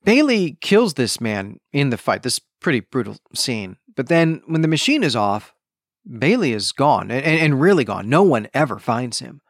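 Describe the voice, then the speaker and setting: A man's voice: mid-range voice, big pitch swings, normal speed, dry recording, studio quality. A man hosting a podcast, explaining a book in a conversational way and checking in with the listener as he goes. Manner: conversational explaining, tags points with "right?". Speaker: podcast host. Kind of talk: book discussion podcast, explanatory plot summary.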